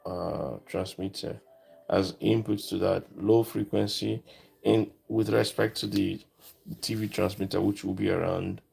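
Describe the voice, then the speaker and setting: A man giving a casual, unscripted electronics demonstration, thinking aloud in short, halting phrases. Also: low-pitched, fairly even intonation, creaky, darkish tone, husky. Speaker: electronics hobbyist presenter.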